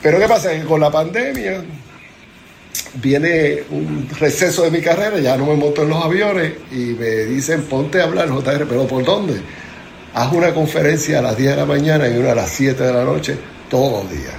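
A man's voice: fairly high pitch, expressive, normal speed, creaky, a little hiss or hum. A man in his seventies from Puerto Rico with a Puerto Rican accent, a motivational speaker telling his life story as a guest in a conversational interview. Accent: Puerto Rican Spanish. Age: 70s.

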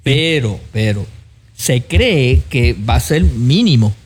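A male co-host with a Puerto Rican accent and a voice of average pitch. He comments conversationally, with tentative, measured phrasing, as part of a casual studio talk show. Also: lively intonation, breathy edge.

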